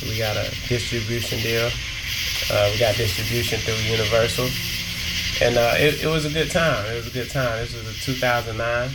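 Laid-back man rapper, noisy. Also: medium pitch. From South Carolina, US. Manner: relaxed, rambling, many fillers and restarts. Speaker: rapper and songwriter. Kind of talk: casual interview answers. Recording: loud background noise.

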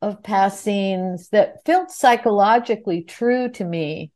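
A woman's voice: mid-range voice, slow pace, a little mellow. Articulate woman interviewer. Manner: articulate, long reflective sentences. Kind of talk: thoughtful interview questions.

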